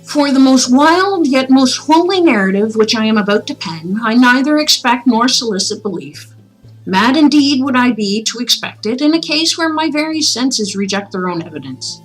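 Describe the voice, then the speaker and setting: A woman reading as a condemned, haunted narrator of a gothic horror tale, solemn, dramatic and deliberate. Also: sits high, lively intonation, pitched above the performer's own voice, rough recording. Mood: grave, insistent. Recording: music playing behind the voice.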